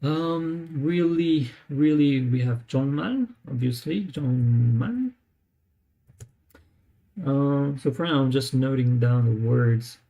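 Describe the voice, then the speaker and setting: A man talking casually during a live screen-share, thinking aloud with many pauses and fillers as he tries out words. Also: medium pitch, muffled recording. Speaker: language learner streaming live.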